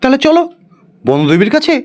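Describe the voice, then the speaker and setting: A poor village farmer from a cartoon folktale, acted out by a man. His voice is strikingly high and rises and falls in a lively way. He is honest and simple, exclaiming in a plaintive, earnest manner. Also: moderate pace. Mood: eager. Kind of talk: animated fairy tale acting.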